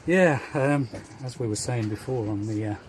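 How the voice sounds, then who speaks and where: A man hosting a sailing vlog, relaxed, interviewing a crewmate with easy backchannels. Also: mid-range voice, normal speed, slight background noise, rough recording. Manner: relaxed, frequent "yeah" backchannels. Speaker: vlogger, yacht delivery crew. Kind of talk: casual vlog narration and interviewing. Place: on deck of a yacht at sea, outdoors.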